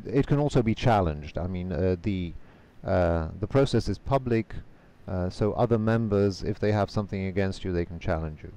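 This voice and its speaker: Polish-native male interpreter guest, low voice, measured answers, video call. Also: dark tone. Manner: measured, careful, frequent hesitation fillers.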